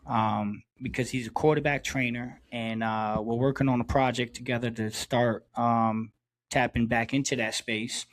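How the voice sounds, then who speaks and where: Middle aged guy cohosting a podcast, slangy and chummy, medium pitch, telling stories. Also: fairly even intonation, normal speed. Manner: slangy, chummy, repeats phrases for emphasis. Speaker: podcast co-host. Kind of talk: casual chat, storytelling.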